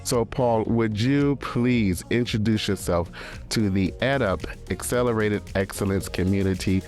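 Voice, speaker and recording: A man's voice: lowish voice, darkish tone. A man hosting a podcast, asking friendly, structured questions of his guest. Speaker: podcast host.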